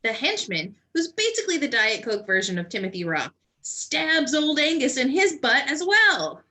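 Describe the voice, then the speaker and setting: Woman narrating comedy, bright sharp voice, bouncy, kinda wry. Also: sits high, very animated, normal speed. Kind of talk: comic radio-play narration.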